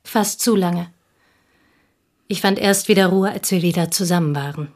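An actress playing a sober provincial woman, a devoted childhood confidante, in a German radio drama, speaking in a measured, wistful way. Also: lowish voice, darkish tone. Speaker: actor in a radio play. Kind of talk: German radio drama acting, reflective storytelling.

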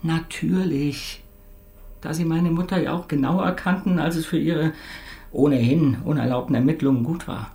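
An actor playing a resentful petty criminal under questioning in a radio crime drama, defiant and accusing. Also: male voice, fairly high pitch, moderate pace, creaky, warm tone. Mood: accusing, bitter.

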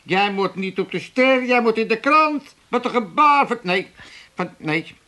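A man's voice: sits high, expressive, a little slow, bright, forceful tone, exaggerated voice. An actor playing a mocking, sceptical older father with an Amsterdam accent in a comic radio play, repeating words for effect. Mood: garrulous.

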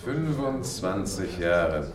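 An actor playing a pensive, marvelling middle-aged former pupil in a German radio play. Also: male voice, sits low, big pitch swings, takes it slowly, crowd noise, heavily acted. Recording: echoey room with voices around.

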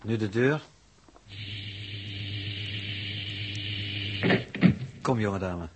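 An actor playing a composed, courteous spaceship officer, formal and practical, in a 1960s radio drama. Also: male voice, fairly low pitch, moderate pace, close to an everyday voice. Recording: noisy old radio recording.

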